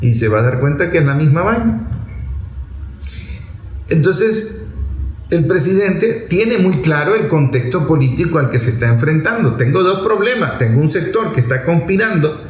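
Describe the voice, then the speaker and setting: A man's voice: on the high side, very animated, normal speed, roomy sound. A man with a Venezuelan accent giving unscripted political commentary in a blunt, colloquial, emphatic manner, often posing rhetorical questions.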